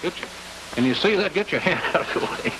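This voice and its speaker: A man's voice: high voice, animated, fast talker. An American man hosting a 1950s television interview, asking long questions in a folksy way. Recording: noisy, hissy 1950s television broadcast.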